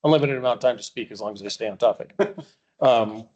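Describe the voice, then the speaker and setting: A man's voice: medium pitch, fast talker, a little mellow, highs cut off. A man who is an agency director, presenting items at a board meeting with hesitant, restarting speech. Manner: hesitant, many fillers and restarts.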